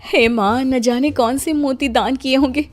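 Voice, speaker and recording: A woman's voice: high-pitched, steady tone, normal speed, faint music behind, lightly acted. A woman voicing a naive, grateful young daughter-in-law in a story, gushing and eager. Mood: awed delight.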